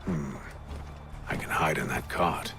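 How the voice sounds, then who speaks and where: An actor playing a dry, confident gentleman assassin of the eighteenth century, in a game cutscene. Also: extremely low pitch, slow. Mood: guarded, low. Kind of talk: video game cutscene acting.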